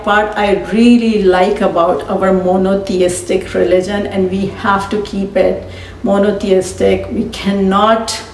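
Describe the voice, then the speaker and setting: A woman's voice: medium pitch, darkish tone, distant mic, a little hiss or hum. Sincere woman in an echoey room. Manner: sincere, conversational, slightly halting. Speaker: host. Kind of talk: casual opinion vlog.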